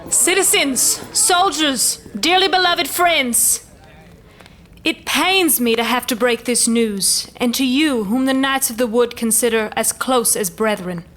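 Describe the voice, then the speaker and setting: A woman's voice: a little high, measured pace, a bit bright, a little hiss or hum. A woman playing a noble elven commander in a fantasy audio drama, speaking in formal, stately oratory. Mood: solemn, mournful.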